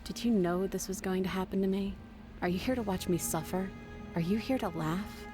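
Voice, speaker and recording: An actress playing a wounded, distrustful captive princess in a visual novel, halting and sorrowful, weary and imploring. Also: female voice, medium pitch, steady tone, over music, natural voice. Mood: bitter, hurt.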